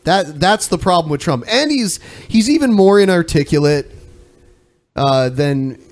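Male co-host, slightly high pitch, blunt conversational opinions, casual banter. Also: expressive, measured pace. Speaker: podcast co-host.

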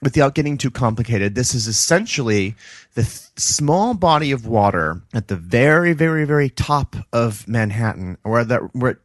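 A man's voice: medium pitch, expressive, no room sound. A man co-hosting a podcast, joking playfully and telling a historical tale in casual chat. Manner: playful, quick to joke. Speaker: podcast co-host.

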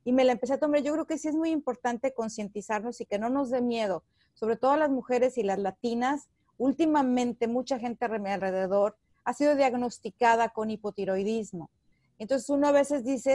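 A woman's voice: fairly high pitch, normal speed, dark tone, no room sound, studio quality. A woman from Mexico with a Mexican accent, talking candidly in an emphatic, explanatory conversational way.

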